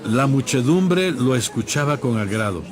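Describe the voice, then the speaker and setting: Medium-pitched male scripture narrator over music. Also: expressive, normal speed, a crowd in the background. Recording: music playing behind.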